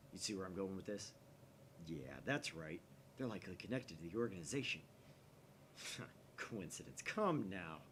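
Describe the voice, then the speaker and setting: A man's voice: low voice, slight background noise, pitched below the performer's own voice. A man voicing a grandiose, paranoid young mad scientist from a visual novel, in a theatrical, conspiratorial manner. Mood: smug, conspiratorial.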